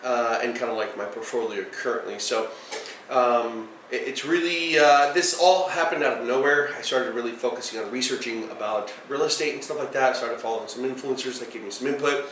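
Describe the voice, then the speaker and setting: A man's voice: medium pitch, brisk, fairly bright tone. A man in his thirties talking casually to camera about his plans, rambling with many fillers and restarts. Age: 30s. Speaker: real estate vlogger. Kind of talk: casual direct-to-camera talk. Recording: echoey room, voice far from the mic, faint noise.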